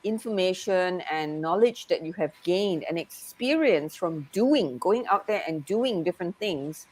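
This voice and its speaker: A woman's voice: fairly low pitch, expressive, unhurried, a little mellow. A woman who grew up in Malaysia, a coach talking in a casual, reflective way and often saying "you know". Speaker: NLP coach. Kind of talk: casual conversation.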